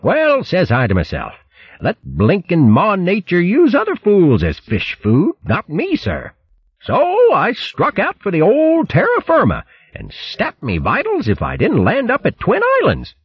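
A man's voice: sits high, huge pitch swings, measured pace, slightly rough, voice pushed up, full character voice. A man voicing a jolly, boastful male hare who blusters with old-fashioned exclamations. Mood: blustering cheer. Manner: hearty, blustery, full of "what, what" bluster. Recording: muffled lo-fi recording.

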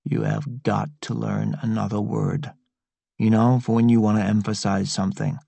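A man voicing a calm, dry-witted older man who speaks quietly and in an understated way. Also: lowish voice, moderate pace, creaky, dry recording, full character voice.

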